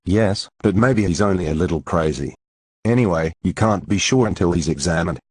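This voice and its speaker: An actor playing a fussy male department store manager in a radio drama, with a husky voice. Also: sits low, animated, moderate pace, a little mellow, no echo, studio quality.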